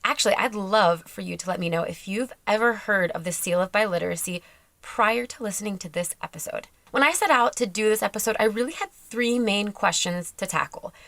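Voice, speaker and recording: A woman's voice: medium pitch, brisk, fairly bright tone, dry recording. A woman hosting a podcast, reading a playful scripted intro and then interviewing a guest in an upbeat, emphatic way. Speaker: podcast host.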